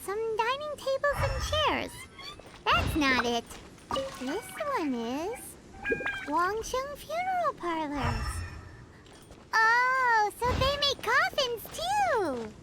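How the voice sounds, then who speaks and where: A woman voicing a small, chirpy, cheeky childlike fairy companion with a squeaky voice in an English video game dub. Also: huge pitch swings, takes it slowly, heavily acted. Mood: excited. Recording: loud game music behind voice. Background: music.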